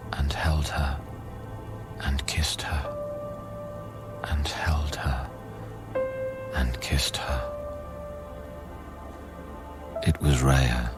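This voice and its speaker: Radio play lead: brooding, haunted scientist, extremely deep voice, measured and intimate. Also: male voice. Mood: tender, hushed. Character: brooding, haunted man of science, tender yet irritable. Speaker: lead actor and first-person narrator. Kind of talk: radio play, intimate literary narration and dialogue.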